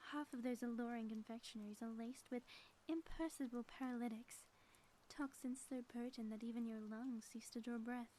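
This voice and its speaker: Girl doing a villainess wife for asmr roleplay, high n mellow, dramatic taunting, super flowery wording. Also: slight background noise, natural voice, rough recording. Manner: florid, grandiose villain diction. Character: theatrical ex-villain woman, gloating, mock-menacing, playfully taunting spouse. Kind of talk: scripted audio roleplay monologue, ASMR.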